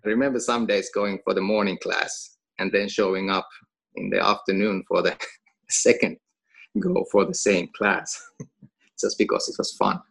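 A man's voice: fairly low pitch, measured pace, gravelly, pauses often, fairly bright tone. A Finnish man who is a gym owner and coach, chatting casually in English with a Finnish accent and laughing between phrases. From Finland. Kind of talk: casual podcast conversation.

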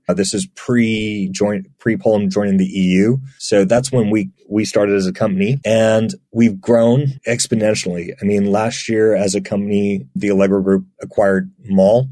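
Low mellow guy chatting easy as a guest, lots of restarts, dry close sound. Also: normal speed, hardly any pauses, no room sound. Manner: relaxed, chatty, frequent restarts and laughs. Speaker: guest, marketplace team member. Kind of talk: conversational interview answers.

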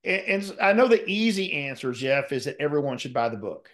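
A man's voice: fairly high pitch, big pitch swings, brisk, fairly bright tone. Male podcast host, rambling questions, stumbles and repeats words. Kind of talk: interview questions.

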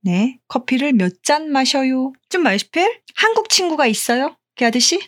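A native Korean woman with a Korean accent, co-hosting a conversational language lesson as the teacher, often affirming and speaking halting German. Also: high voice, animated, a bit bright, no room sound, clean studio sound.

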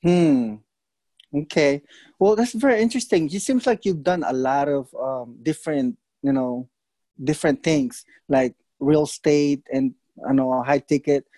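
A man of Filipino origin hosting a podcast, friendly and halting, with frequent fillers. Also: a little high, warm tone, no room sound. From Philippines. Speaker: podcast host. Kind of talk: casual interview hosting.

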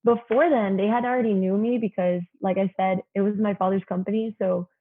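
A woman's voice: medium pitch, fairly even intonation, brisk, husky. A woman in her early twenties with a young-sounding voice, chatting as an interview guest with lots of "you know" fillers. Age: 20s. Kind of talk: casual interview talk. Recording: tinny phone-like line.

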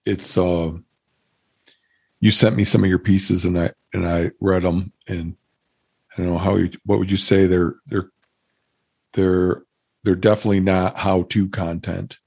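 A man in his fifties hosting a podcast, asking questions haltingly with many restarts. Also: sits low, little pitch movement, vocal fry, darkish tone, slightly rough. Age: 50s. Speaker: podcast host. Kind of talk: casual interview.

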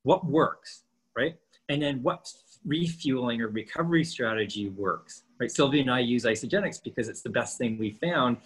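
A man's voice: mid-range voice, animated, moderate pace, breathy edge, rough recording. A man in his fifties co-hosting a casual podcast, explaining training and nutrition with lots of rhetorical questions. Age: 50s.

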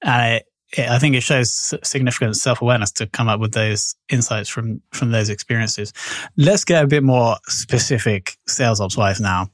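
A man's voice: mid-range voice, normal speed, no echo. Dry-sounding male podcast host. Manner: polished, friendly interviewer with small stumbles. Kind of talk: podcast interview.

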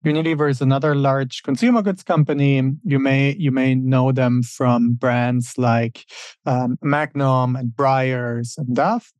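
A man's voice: mid-range voice, steady tone, a little slow, a little mellow, dry recording. A man from Germany, in his thirties, talking through his answers as a podcast guest. He speaks in a mid-range voice and often stops to restart a phrase or add an "um". The sound is dry and close, with no room around it. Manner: thoughtful, many fillers and restarts. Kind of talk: casual interview answers.

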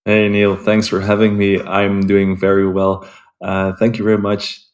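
A young man from Belgium, a sales rep, calmly answering interview questions with frequent ums and uhs. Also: on the low side, unhurried. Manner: calm, hesitant with frequent ums. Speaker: guest, sales development rep.

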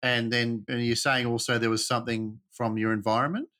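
A man hosts an interview, mid-pitched and unhurried. He asks halting, searching questions and sums up the guest's answers. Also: flat delivery, sharp tone.

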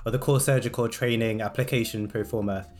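A man's voice: mid-range voice, steady tone. A man co-hosting a casual medical podcast, explaining points in an easy, chatty way. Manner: fast-talking, explanatory. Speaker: podcast co-host.

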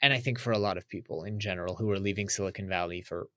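A man's voice: low-pitched, racing, fairly bright tone, dry recording, clean studio sound. A man from the United States, a podcast guest, talking casually and reflectively in an interview.

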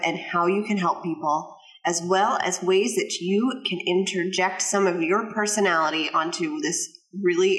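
Podcast host woman in a roomy office. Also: medium pitch. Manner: friendly, reads from a script. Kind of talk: scripted intro and interview. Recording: roomy new home office with music.

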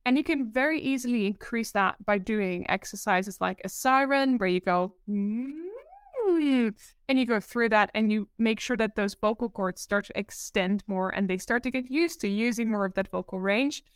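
A Dutch-accented woman with a slightly high voice, chatting as a podcast guest about her work. She talks expressively and giggles often. The sound is dry and close, with no room echo. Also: animated, normal speed, smooth. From Netherlands. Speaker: presentation coach, guest. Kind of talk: casual podcast interview.

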